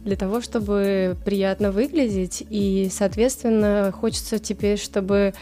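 A woman co-hosting a live studio talk show, her voice a little hoarse from a cold, chatty and self-deprecating. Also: medium pitch, steady tone, measured pace, dark tone, faint music behind. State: hoarse from illness. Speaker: co-host. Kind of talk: casual chat.

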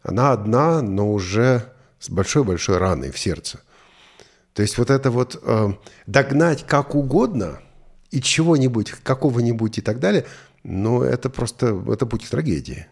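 A man talking conversationally in a discussion, earnestly and deliberately, with long pauses as he reflects and tells stories. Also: mid-range voice, expressive, creaky.

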